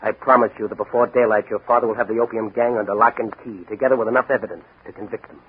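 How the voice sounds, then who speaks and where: A man's voice: fairly low pitch, fairly even intonation, very fast, lightly acted. Brash masked radio hero, played by a man. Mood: gallant, assured. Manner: theatrical, brash, declamatory. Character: bold young masked male crime-fighting hero, swaggering and taunting. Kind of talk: 1940s radio acting. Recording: scratchy, tinny 1940s radio recording.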